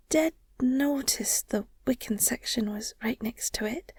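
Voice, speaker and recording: A woman playing an affectionate, nerdy werewolf girlfriend in an intimate audio roleplay, soft and breathy, often near a whisper, speaking tenderly. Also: high-pitched, no room sound, studio quality, heavily acted. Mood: hesitant, curious. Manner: gushing, tender, hesitant with pauses and sighs.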